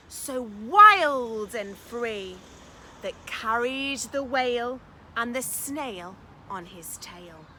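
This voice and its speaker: A very lively woman reads a children's storybook like an early years teacher. Her voice is bright and on the high side, and she takes her time. She is encouraging and expressive, stressing words dramatically. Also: a little hiss or hum. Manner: expressive, encouraging, dramatic emphasis with hushed asides. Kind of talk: animated children's storytime reading, chatty questions to listeners.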